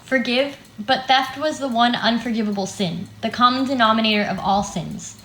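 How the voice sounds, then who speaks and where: Woman narrator, bright high-ish voice, scripted reading, faint noise. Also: normal speed. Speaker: narrator in readers' theatre. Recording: echoey room with faint noise.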